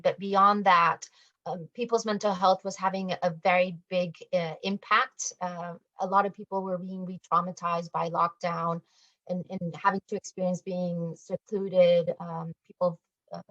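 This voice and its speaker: A woman's voice: mid-range voice, no echo, muffled recording. A woman explaining a community campaign as a guest in a radio discussion, speaking over an online call.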